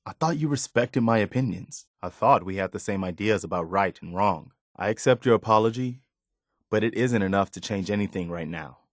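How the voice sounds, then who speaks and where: An actor voicing an earnest, principled, loyal but weary militia officer in a video game, speaking in a sincere, measured, plain-spoken way. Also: male voice, fairly low pitch, dry recording, studio quality, close to an everyday voice. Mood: hurt, disappointed. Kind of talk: video game character dialogue.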